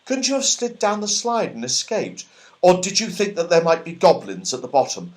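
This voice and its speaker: A man's voice: high voice, wildly swooping pitch, moderate pace, voice pushed up. A man voicing a keen, sensible young boy in a children's storybook. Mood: concerned.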